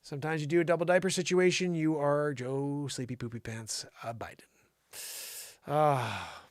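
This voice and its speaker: A man's voice: on the high side, normal speed, no echo. A man hosting a live show with casual, slangy, rambling comedic commentary, often thinking aloud. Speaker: live variety show host.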